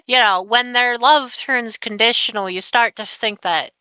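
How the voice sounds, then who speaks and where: A nineteen-year-old woman telling a painful personal story on a podcast, candid and sweary with heavy pauses. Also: fairly high pitch, sharp tone, clean tone, no room sound. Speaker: podcast guest.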